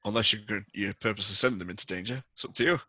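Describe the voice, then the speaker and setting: Low, bright-toned male game master. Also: rapid-fire, dry recording. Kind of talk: casual tabletop game refereeing.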